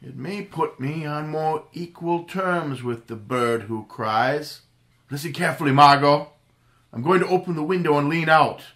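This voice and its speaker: A man acting a calm, commanding playboy crime-fighter in a 1930s-style radio drama reenactment. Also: on the high side, normal speed. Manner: cool, commanding, reassuring.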